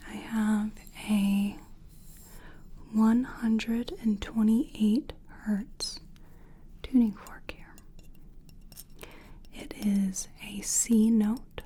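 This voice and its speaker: A woman whispering in a breathy, gentle and soothing way, as a practitioner in an ASMR personal attention roleplay. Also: mid-range voice, a little hiss or hum. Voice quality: breathy, mostly unvoiced whisper. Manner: gentle, soothing, deliberate, reassuring. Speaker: ASMR performer playing a soul-cleansing practitioner.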